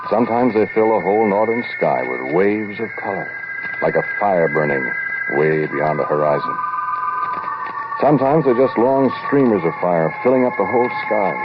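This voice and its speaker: Deep-voiced, ominous radio storyteller. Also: male voice. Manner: intimate, deliberate, sardonic, addressing the listener directly. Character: ominous, knowing male scientist confiding a strange tale. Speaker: narrator and lead actor in a radio play. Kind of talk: 1940s radio drama narration. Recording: muffled vintage 1940s radio recording.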